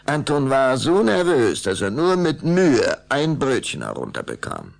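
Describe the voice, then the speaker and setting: A man narrating a scripted radio play with suspenseful, deliberate, dramatic storytelling. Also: medium pitch, huge pitch swings, gravelly.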